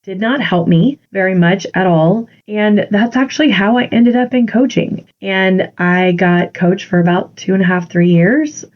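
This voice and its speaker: A woman with a congested voice hosting a solo podcast, speaking earnestly and encouragingly as a confidence coach. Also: on the low side. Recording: dull lo-fi recording.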